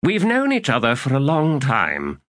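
A man's voice: medium pitch, wildly swooping pitch, measured pace, fairly bright tone, dry recording, clean studio sound, pitched above the performer's own voice. A man voicing a whimsical, self-satisfied young king in an audiobook, with florid, mock-pompous phrasing. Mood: playful, theatrical. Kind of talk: audiobook character dialogue.

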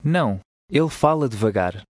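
A man's voice: mid-range voice, animated, normal speed, no room sound, clean studio sound. A man with a European Portuguese accent, carefully repeating model phrases in a language course. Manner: clear, careful repetition of phrases.

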